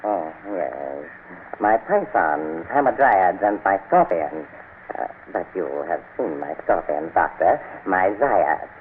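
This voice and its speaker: A sinister mastermind of vintage radio drama, low and deliberate, his pitch sweeping widely as he threatens with elaborate courtesy. The sound is a narrow, tinny old broadcast. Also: sing-song, slight background noise, full character voice. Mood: gloating menace. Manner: slow, deliberate, mock-courteous menace. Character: sinister, gloating male criminal mastermind, cultured and menacing. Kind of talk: 1930s-40s radio serial acting.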